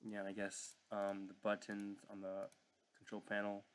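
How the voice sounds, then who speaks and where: A young man giving a casual, unscripted software walkthrough at his desk at home, hesitant and apologetic in manner. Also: fairly low pitch, monotone, normal speed. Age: young adult. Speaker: learner presenting a tutorial. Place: indoor room at home.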